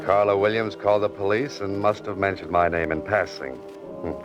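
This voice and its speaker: An actor playing a wry young fiction writer caught up in crime, in 1940s radio style with an American accent and a sardonic, hard-boiled delivery. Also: male voice, low voice, steady tone, normal speed, lo-fi sound, music in the background, lightly acted. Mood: deadpan, evasive. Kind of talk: 1940s radio acting, first-person noir narration.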